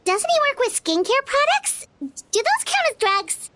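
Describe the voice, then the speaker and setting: Squeaky, very high little girl companion. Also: very animated, unhurried, over loud music, full character voice. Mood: inquisitive. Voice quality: squeaky, childlike. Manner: exclamatory, cheeky. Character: small bubbly childlike girl companion, blunt and curious. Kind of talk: video game character dialogue.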